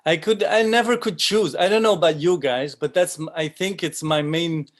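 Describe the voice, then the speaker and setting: A man in his forties, a frame drummer, chatting on a video call with enthusiasm and lots of ums, his sentences often trailing off quietly. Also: on the high side, moderate pace. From Belgium. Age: 40s. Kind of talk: casual online panel chat.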